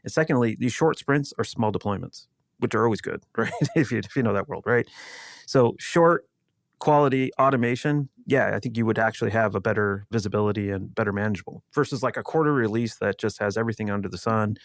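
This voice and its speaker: A man speaking as an expert guest in an unscripted panel discussion, in a measured explanatory way. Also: fairly low pitch, no echo, clean studio sound. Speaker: guest security executive.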